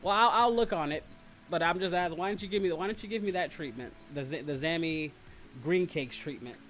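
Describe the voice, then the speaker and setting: A man's voice: on the high side, brisk, a bit bright, slight background noise. A man hosting a casual livestream, chatting with viewers in a rambling, repetitive way with teasing complaints. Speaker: livestream host. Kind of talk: casual livestream chat, reading and answering viewer comments.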